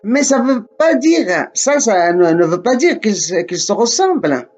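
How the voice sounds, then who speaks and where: A man from Morocco giving a slow, deliberate spoken lesson, often repeating and correcting himself. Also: high voice, big pitch swings, a little mellow, faint music behind.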